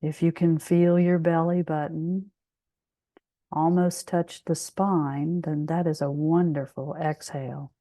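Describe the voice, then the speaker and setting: Slow, low-pitched woman guiding meditation. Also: little pitch movement, mellow, dry recording. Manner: soothing, measured, with long pauses. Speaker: meditation guide. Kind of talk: guided meditation.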